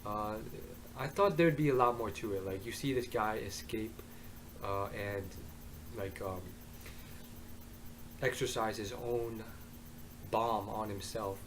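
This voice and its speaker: A hoarse young man reviewing an anime to his webcam in his bedroom, rambling with many ums and uhs. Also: on the low side, takes it slowly, pauses often, a little hiss or hum. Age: young adult. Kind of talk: casual vlog review. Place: bedroom, indoors. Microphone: webcam at desk.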